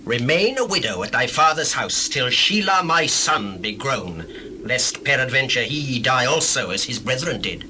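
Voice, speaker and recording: Man playing grave bible patriarch, very bright forceful voice, declaiming, noisy old recording. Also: medium pitch, animated, normal speed. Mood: low, measured. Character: grave, commanding biblical patriarchs and upright men. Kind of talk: dramatized scripture acting. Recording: noisy older audio drama recording.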